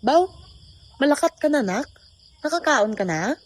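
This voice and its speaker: A woman's voice: high-pitched, very animated, moderate pace. A young woman playing a fretful, caring mother in an amateur radio drama. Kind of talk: amateur radio drama acting in Filipino regional language.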